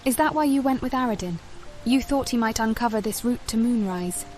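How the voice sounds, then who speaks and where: A woman's voice: fairly high pitch, a little fast, a little mellow, soft background music, close to an everyday voice. A woman playing a direct, questioning adventurer heroine in a fantasy game. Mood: inquisitive. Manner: direct, inquisitive.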